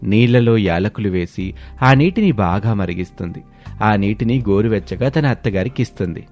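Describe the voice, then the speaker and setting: A man narrates a scripted moral tale. His pitch moves in a lively way from line to line, over a clear music bed. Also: normal speed.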